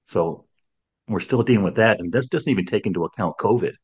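A man's voice: lowish voice, fairly quick, a little mellow, husky, muffled recording. A man in his sixties hosting a podcast in casual conversation, rambling with many restarts and "you know"s. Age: 60s. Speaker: podcast host.

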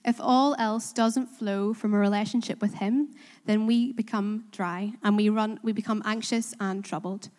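A woman's voice: mid-range voice, fairly even intonation, normal speed, a little mellow. Woman preaching in a reverberant hall. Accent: Korean. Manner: earnest, self-deprecating, asks the congregation questions. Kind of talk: sermon. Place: church in Belfast.

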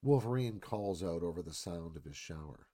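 A man's voice: sits low, animated, moderate pace, mellow. A man narrating scene directions in a live screenplay reading.

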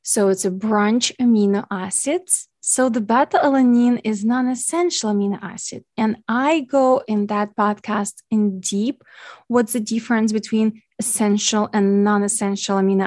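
A woman's voice: medium pitch, darkish tone, no echo, clean studio sound. Ukrainian-accented woman hosting a podcast. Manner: earnest, grateful, non-native phrasing. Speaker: podcast host, nutrition coach. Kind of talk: solo podcast monologue.

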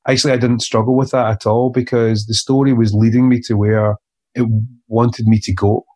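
A man, an author being interviewed, speaking earnestly and haltingly with many restarts. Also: mid-range voice, level tone, darkish tone. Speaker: author guest. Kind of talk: reflective interview conversation.

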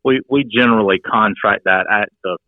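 A man in his forties from Georgia, a wildlife biologist, answering interview questions in a measured, matter-of-fact way. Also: on the low side, no echo. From Georgia, USA. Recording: tinny phone line.

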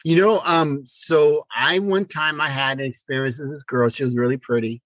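A middle-aged man co-hosting a podcast in casual conversation, blunt and joking in an animated way. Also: fairly high pitch, normal speed, no room sound, low-quality recording. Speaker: podcast co-host.

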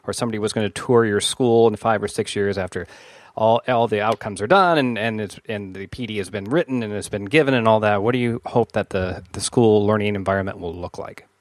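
A man's voice: on the low side, fairly quick, no echo. A man hosting an education podcast, asking rambling questions with restarts, then reading a promo. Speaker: podcast host and interviewer. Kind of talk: conversational interview, promo read.

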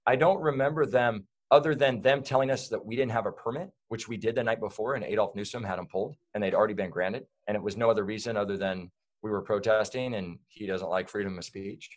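A man with a somewhat low voice tells his story in a loose, rambling way. He fills gaps with "um" and "you know" and often restarts his sentences. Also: fast talker. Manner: rambling, many fillers and restarts. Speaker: guest. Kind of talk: casual interview talk.

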